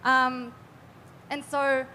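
Young woman from New Zealand, high-pitched, earnest live-panel guest; faint background hum. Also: little pitch movement, drawn-out words, bright, forceful tone. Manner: chatty, earnest, many fillers and restarts. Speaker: artist guest. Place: live event stage.

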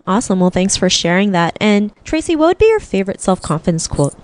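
A woman's voice: mid-range voice, sing-song, quick pace. A woman hosting an interview podcast, chatting in an upbeat way and often saying "like" and "right?". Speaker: podcast host. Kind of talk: casual interview chat.

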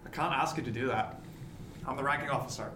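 An actor playing a brooding, proud first mate on a merchant ship in a period radio drama, grave and resolute in manner. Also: male voice, mid-range voice, fast, sharp tone, a little hiss or hum, natural voice. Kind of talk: serial radio drama acting, period seafaring. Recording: echoey room, guitar music behind.

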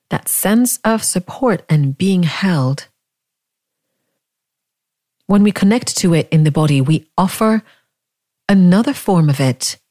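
Slow, mellow woman guiding meditation. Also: fairly low pitch, dry recording, studio quality. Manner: gentle, invitational questions with long pauses. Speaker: meditation teacher. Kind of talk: guided meditation.

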